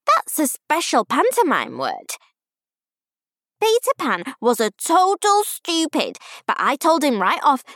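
Shrill, excitable little five-year-old girl. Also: no echo, clean studio sound, exaggerated voice. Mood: proud, happy. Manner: shrill, emphatic childish babble. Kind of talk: audiobook character voice.

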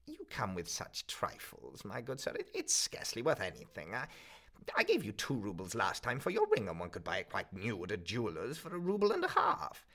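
A man's voice: fairly low pitch, huge pitch swings, brisk, pushed and bright, voice pushed up, heavily acted. A man voicing a withered, distrustful old woman pawnbroker who haggles curtly and talks down to her visitor. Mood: curt, haggling. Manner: curt, haggling, condescending.

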